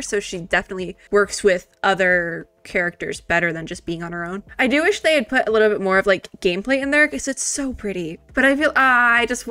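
A woman giving casual, gushing reaction commentary, rambling excitedly and peppering it with "like". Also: medium pitch, smooth, dry recording. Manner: excitable, rambling, heavy use of "like". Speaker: video creator reacting to a game trailer.

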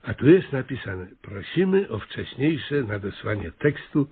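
Egzaltowany, zirytowany burmistrz miasteczka. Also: male voice, medium pitch, very animated, a little slow, breathy edge, narrow, tinny audio. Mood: puzzled, insistent. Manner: stammering repetitions, bureaucratic, exclamatory. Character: exasperated, bossy small-town mayor, absurdly earnest man. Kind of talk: radio comedy play acting.

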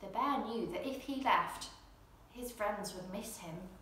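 A young woman presenting and reading a children's storybook in an expressive, gentle bedtime style. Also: mid-range voice, unhurried, slight background noise. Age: young adult. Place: indoor room at home, seated in armchair. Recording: very echoey room.

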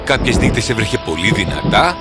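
A man narrating a scripted fairy tale in a dramatic, expressive manner. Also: fairly low pitch. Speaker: storyteller. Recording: music under the voice.

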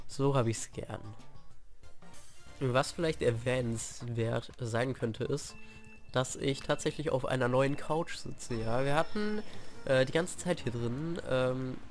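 A young man with a head cold talks casually over his gameplay, a little congested and clearing his throat now and then, breaking into loud yells when the race goes wrong. His voice sits at an ordinary middle pitch, picked up by a mic propped on his sofa at home, with faint game music underneath. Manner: chatty, with sudden shouted outbursts at the game. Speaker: let's play host. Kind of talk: gameplay commentary. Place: living room at home, on a sofa. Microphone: desk mic propped on games on the sofa.

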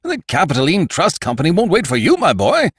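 A man's voice: fairly high pitch, huge pitch swings, a little fast, slightly rough, no echo, studio quality, voice pushed up, exaggerated voice. A man voicing a bluff, pushy retired major talking up an investment. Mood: blustering. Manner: blustering, salesy. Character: bluff male major, pushy stock promoter.

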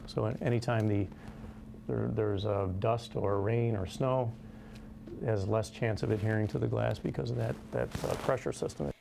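A man in his forties with an American accent, giving halting, measured explanations in an interview. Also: medium pitch, gravelly, a little mellow, slight background noise. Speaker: company representative being interviewed. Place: small indoor conference room. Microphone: lapel.

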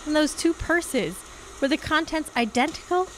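A woman's voice: high-pitched, animated, normal speed, noisy background. A young woman playing a sassy, suspicious female private eye in a 1940s-style radio drama, with an arch, wry manner. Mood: probing. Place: live on a stage before a curtain. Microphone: stand microphone.

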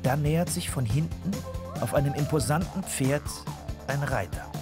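A man narrating a children's audio drama from a script in an expressive storytelling manner. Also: a little high, moderate pace, over music.

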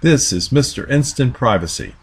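A man narrating a voice-over, reading plant descriptions from a script in an even manner. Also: mid-range voice, normal speed, husky. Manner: even, read-aloud delivery.

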